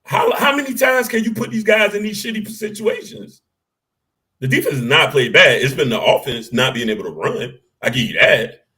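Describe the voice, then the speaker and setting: A man with a deep, bassy voice, co-hosting a casual sports talk show and arguing his points with animated, salty energy. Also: normal speed. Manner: animated, argumentative, swears casually.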